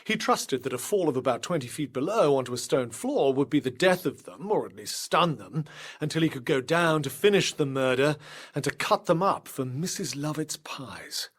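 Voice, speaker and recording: An actor playing an assured gentleman detective in a period audio drama, speaking with precise, dramatic authority. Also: a little high, animated, normal speed. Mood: wry, confiding.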